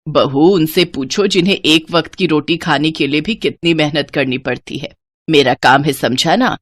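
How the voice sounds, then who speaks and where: A woman doing a lower, lecturing old mother-in-law. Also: normal speed. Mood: scolding. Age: older. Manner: lecturing, patient. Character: older mother-in-law, patient and scolding.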